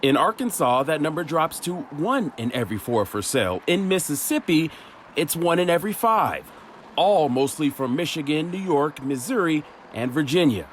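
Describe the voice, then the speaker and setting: A man's voice: fairly high pitch, sing-song, normal speed, a little hiss or hum. A man reading a scripted TV news report as a reporter.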